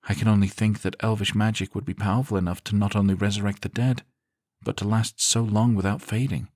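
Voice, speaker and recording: A man voicing a soft-spoken, weary young woman in a fantasy audiobook story, lifting his voice into a gentle, higher register. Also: flat delivery, brisk, no room sound, clean studio sound, natural voice. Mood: wistful.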